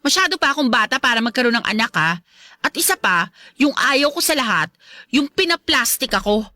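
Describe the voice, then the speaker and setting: Sharp, bright-voiced haughty Filipino stepmother. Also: high-pitched, very animated, brisk, no room sound, heavily acted. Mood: haughty, hostile. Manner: curt, sarcastic, syrupy when putting on affection. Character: haughty, hostile young stepmother, sweet only to her husband. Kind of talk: Filipino radio drama acting.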